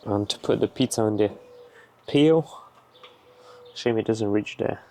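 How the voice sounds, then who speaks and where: A young man with a Bulgarian accent, casually explaining as he cooks outdoors in a garden, relaxed and matter-of-fact. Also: fairly low pitch, measured pace. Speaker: vlogger. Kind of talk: casual explaining while cooking.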